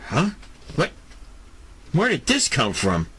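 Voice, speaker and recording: A man's voice: a little high, wildly swooping pitch, slow, bright, forceful tone, slightly rough, heavily acted. A man playing a flustered, startled superhero in an amateur audio drama, stammering, exclaiming and repeating himself in protest. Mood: bewildered.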